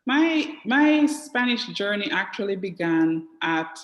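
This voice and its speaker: A woman's voice: medium pitch, very animated, slow pace, highs cut off. A middle-aged woman with a Jamaican accent, telling her own story as an interview guest in an echoey room. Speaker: interview guest, dental therapist. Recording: roomy, echoey video call.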